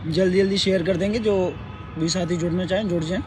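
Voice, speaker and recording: Hoarse high-voiced man, throat clearing. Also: steady tone, noisy background. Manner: clears throat often, halting with fillers. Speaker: live stream host. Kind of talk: casual live update. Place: sitting outdoors near a court.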